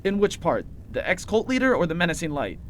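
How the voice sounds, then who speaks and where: An actor plays an impulsive amateur bad cop for a fiction podcast. The voice is goofy and blustering, with very lively swoops in pitch. There is a faint rumbling noise behind it. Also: fairly quick, natural voice. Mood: suspicious, blustering. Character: impulsive small-town reporter playing bad cop. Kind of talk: audio fiction podcast acting.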